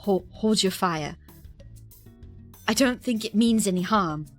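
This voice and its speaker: Bewildered British police chief, played by a woman, theatrical storybook narration, halting and slow-paced. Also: mid-range voice, soft background music. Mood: startled, bewildered. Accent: put-on British. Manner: dramatic, stammering storytelling. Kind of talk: dramatic first-person story reading.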